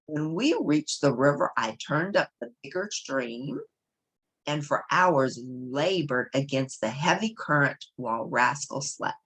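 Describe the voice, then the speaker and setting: Low, husky woman reading a story aloud. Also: lively intonation, measured pace, a bit bright, poor audio. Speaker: storybook reader. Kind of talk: read-aloud narration of a children's novel.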